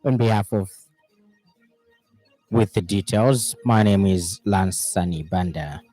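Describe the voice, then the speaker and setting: A male radio news presenter with a mellow, lowish voice reads a scripted bulletin. His delivery is formal and measured, with the odd stumble, and the sound is close and dry. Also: dry recording, faint music behind.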